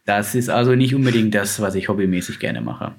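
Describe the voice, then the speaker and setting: A man's voice: on the low side, fairly quick, creaky. Chatty German man, finance advisor. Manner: chatty, enthusiastic, uses lots of fillers. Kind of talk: casual conversation.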